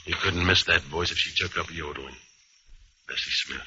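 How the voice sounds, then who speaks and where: An actor playing a world-weary American private eye, laconic and dry, in first-person radio noir. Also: male voice, low voice, moderate pace, close to an everyday voice. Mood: weary narration. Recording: muffled, noisy old radio recording.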